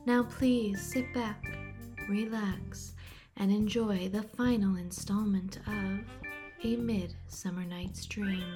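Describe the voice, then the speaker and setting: A woman delivers a scripted radio announcement over clearly audible music. Her voice sits at a medium pitch, and she takes the words slowly. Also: fairly even intonation.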